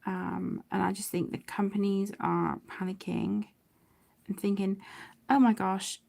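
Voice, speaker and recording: A middle-aged woman chatting casually on a livestream, affectionate and apologetic, with phrases that trail off softly. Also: mid-range voice, level tone, unhurried, vocal fry. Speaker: livestream host of an adult colouring channel. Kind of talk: casual livestream chat while colouring.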